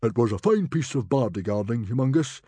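A man voicing a proud, booming middle-aged Viking chief who bellows and blusters. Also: lively intonation, fairly quick, dark tone, husky, no room sound. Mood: grand generous.

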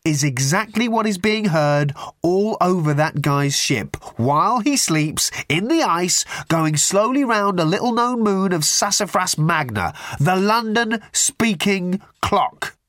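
A man voicing a manic, excitable man who rants and shouts frantically. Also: medium pitch, a little fast, dry recording, clean studio sound, voice pushed up.

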